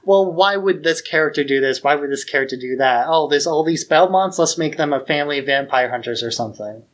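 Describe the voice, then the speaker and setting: A man with a somewhat high voice chats as a podcast co-host. His delivery is casual and slangy, and he gives his fan opinions bluntly. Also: a little fast, fairly bright tone.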